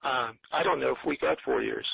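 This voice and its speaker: A man calling in to a talk line, speaking in a hesitant, earnest, gloomy and rambling way with a gravelly, husky voice. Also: mid-range voice, fairly quick, no echo. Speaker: caller. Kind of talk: rambling call-in commentary. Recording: narrow muffled phone-line sound.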